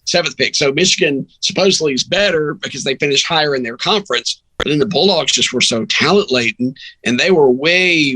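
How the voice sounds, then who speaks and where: A man's voice: medium pitch, sharp tone, no room sound, rough recording. A man talking sports casually as a podcast guest, opinionated and emphatic, often rambling into asides. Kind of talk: sports talk, casual conversation.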